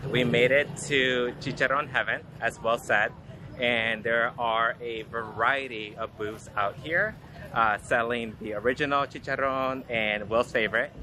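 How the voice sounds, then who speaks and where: A man's voice: mid-range voice, steady tone, measured pace, noisy background. Earnest man raving about a trip. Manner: earnest, enthusiastic. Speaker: travel vlogger. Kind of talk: casual travel vlog. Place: outdoors at a church and in a car. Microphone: handheld camera at arm's length.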